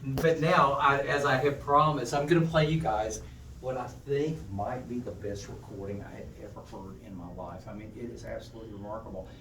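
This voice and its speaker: An enthusiastic, emphatic man giving an informal, halting spoken introduction as a presenter at an audio demonstration. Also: mid-range voice, fairly even intonation, a bit bright. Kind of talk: informal talk introducing music to listeners. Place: indoor demonstration room, recorded from a distance. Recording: echoey noisy room, distant mic.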